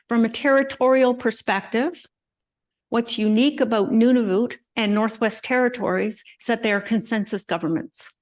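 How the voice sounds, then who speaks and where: An older woman, a native English speaker, giving a conversational slide lecture with frequent pauses and asides. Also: medium pitch. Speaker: guest lecturer. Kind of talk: slide presentation talk.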